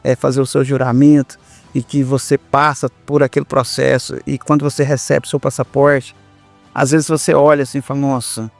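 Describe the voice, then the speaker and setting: A man's voice: medium pitch, level tone, normal speed, vocal fry, faint music behind. A Brazilian man hosting a conversational podcast interview, affirming and echoing what his guest says. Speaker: podcast host.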